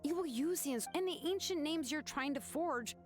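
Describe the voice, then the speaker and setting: Casting: frank young fantasy-game artisan, composed and caring, a touch high in pitch, music underneath. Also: female voice, expressive, normal speed. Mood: probing, composed. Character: cool, sharp young craftswoman, frank and caring. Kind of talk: video game voice acting.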